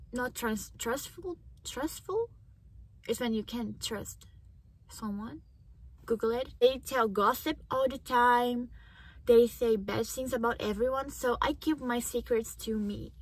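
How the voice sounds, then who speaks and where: Teen girl w portuguese accent talking slow in her car, kinda high voice, phone cam vlog. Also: slightly rough, low-quality recording. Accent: Brazilian Portuguese. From Japan. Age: teens. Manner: slow, searching for words, animated. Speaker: vlogger. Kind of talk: casual chat to camera. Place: parked car, indoors. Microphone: phone camera on dashboard.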